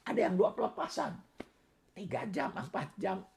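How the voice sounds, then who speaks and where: A middle-aged man preaching a sermon with a hoarse, raspy, worn-out voice, swinging between soft asides and loud emphatic declarations. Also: high-pitched, very animated, moderate pace, a little hiss or hum. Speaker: pastor.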